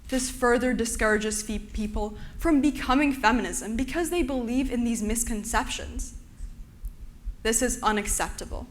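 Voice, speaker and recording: A young woman from Turkey giving an earnest, measured prepared talk to an audience. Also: a little high. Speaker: speaker.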